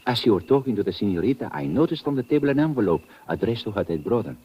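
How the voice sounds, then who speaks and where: An actor playing a formal, deliberate Latin American police lieutenant with a Spanish accent in an old-time radio drama. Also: male voice, on the low side, lively intonation, a little hiss or hum, close to an everyday voice. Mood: measured. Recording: dull vintage radio recording.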